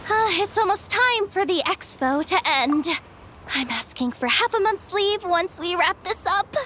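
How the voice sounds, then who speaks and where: A woman playing an excitable, easily panicked young guild worker in a video game, with an expressive, dramatic delivery. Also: extremely high pitch, a little slow, a little hiss or hum, exaggerated voice. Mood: relaxed. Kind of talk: animated video game voice acting.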